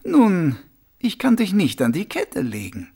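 A cool young streetwise woman, voiced by a male reader, sardonic and sharp-tongued. Her voice sits raised and husky, swinging widely in pitch, with brisk, mocking delivery suited to a storybook. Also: huge pitch swings, heavily acted. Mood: grim warning.

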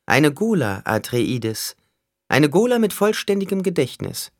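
A man's voice: a little high, big pitch swings, measured pace, no room sound, studio quality, pitched above the performer's own voice. A man voicing a cunning shapeshifter villain in an audiobook, smug and taunting as he negotiates with menace. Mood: bargaining. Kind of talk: audiobook character acting.

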